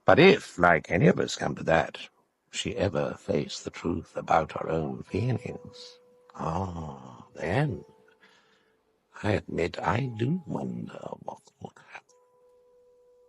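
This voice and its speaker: An actor playing an urbane, cynical literary critic in an audio drama, speaking in a dry, articulate, knowing way. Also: male voice, sits low, big pitch swings, slow pace, creaky, pauses often, a little hiss or hum, heavily acted. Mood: probing, philosophical. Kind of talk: naturalistic audio drama dialogue.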